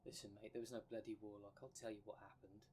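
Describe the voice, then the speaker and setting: A man's voice: lowish voice, flat delivery, fast, mellow, slight background noise, natural voice. A man playing a blunt, cheeky dream demon, slangy and teasing, in an ASMR roleplay drama. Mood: scolding. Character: blunt, cheeky dream demon, bickering half of split creature.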